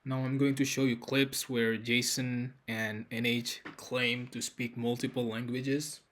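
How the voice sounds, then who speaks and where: A man hosting his channel, introducing video clips in a plain, measured narration. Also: mid-range voice, flat delivery, a bit bright.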